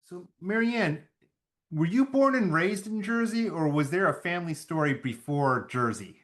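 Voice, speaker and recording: Man, interviewer, fairly high voice, calm questions. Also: moderate pace. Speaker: interviewer, host.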